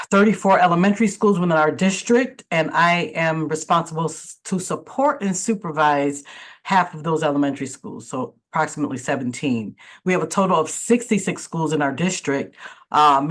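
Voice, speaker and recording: A woman who is a school district administrator, answering questions in a webinar panel and pausing often with fillers and restarts. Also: low voice. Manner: halting, many fillers and restarts.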